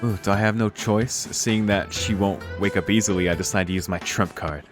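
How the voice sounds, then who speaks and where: A man's voice: on the low side, steady tone, brisk. A man reading a visual novel aloud in a casual, slangy, amused way, swearing and reacting off the cuff. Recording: music behind the voice.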